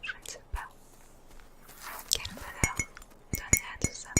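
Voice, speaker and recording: A woman whispering gently and unhurriedly into a close microphone as ASMR game commentary. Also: unhurried. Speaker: video creator.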